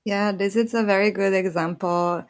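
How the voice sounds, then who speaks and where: A woman's voice: mid-range voice, steady tone, normal speed. A woman from Brazil with a Brazilian accent, speaking earnestly as a guest on a design podcast and laughing often. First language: Portuguese. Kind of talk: conversational podcast interview.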